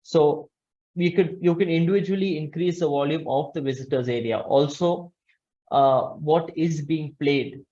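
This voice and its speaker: A young man with a fairly high voice presents a webinar over a video call. He explains step by step, restarting and correcting his phrases as he goes. The audio is slightly dull and muffled. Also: mellow. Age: young adult. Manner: halting, repeats and corrects himself. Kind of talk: explanatory slide presentation with examples. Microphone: video call, webcam framing.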